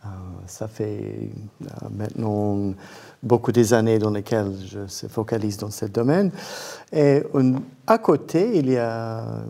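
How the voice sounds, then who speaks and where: A man with a foreign accent, a non-native speaker giving careful, halting explanations as an interview guest and searching for his words. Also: on the low side, gravelly, warm tone. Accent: foreign accent in French. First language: not French. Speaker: guest, independent researcher and inventor.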